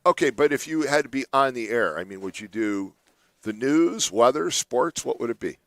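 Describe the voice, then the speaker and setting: A male morning radio host in a dry studio, talking at an easy middle pitch. He chats loosely with his co-host, laughs a lot and slips into teasing mock voices. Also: expressive, normal speed, fairly bright tone, no echo. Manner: chatty, laughs often, does playful mock voices. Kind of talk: casual studio banter.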